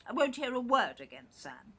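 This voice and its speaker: A woman's voice: fairly high pitch, very animated, moderate pace, bright, forceful tone, husky, heavily acted. An actress playing an older English mother in an audio play, indignant and brusque, condescending as she defends her son. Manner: clipped, brusque, condescending, calls listener "dear".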